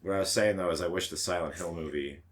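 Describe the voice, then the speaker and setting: Very low-voiced man. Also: fast. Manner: blunt, frequently swearing. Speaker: podcast co-host. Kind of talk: casual chat about movies.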